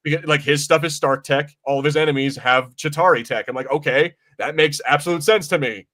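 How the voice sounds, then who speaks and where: A man's voice: fairly high pitch, brisk, a bit bright. A man co-hosting a podcast, chatting casually about movies and often rephrasing himself mid-sentence. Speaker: podcast co-host. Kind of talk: casual movie review chat.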